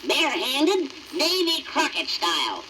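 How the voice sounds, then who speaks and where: High, brash cocky cartoon woodpecker. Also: wildly swooping pitch, measured pace, exaggerated voice. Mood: boastful. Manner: brash, folksy bragging. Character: cocky, boastful cartoon woodpecker, tall-tale fibber. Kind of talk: 1950s children's record voice acting. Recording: noisy old 78rpm record.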